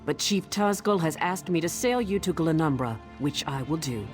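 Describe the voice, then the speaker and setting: Low-voiced, grudging woman sailor. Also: animated, moderate pace, over music. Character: gruff, reluctant sailor taking orders from a chief. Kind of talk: video game dialogue acting.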